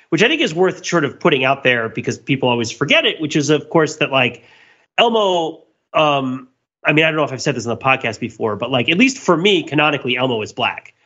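A man's voice: a little high, pushed and bright, husky, dull audio. A man in a casual, analytical podcast discussion, rambling as he thinks aloud in wordy, intellectual phrases and often repeating himself. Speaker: podcast host.

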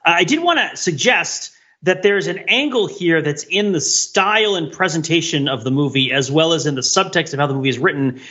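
A man with a fairly high, raspy voice talks through pop culture in an animated, explanatory way. His delivery is casual and bright, like a panelist making an argument. The track sounds slightly dull and lo-fi. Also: fairly quick. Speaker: podcast panelist.

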